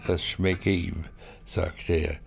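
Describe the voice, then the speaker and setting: A deep-voiced man reads a literary narrative slowly and deliberately. His voice creaks with gravel, and music plays behind him. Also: level tone. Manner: measured, deliberate. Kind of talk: literary reading, scripted narration.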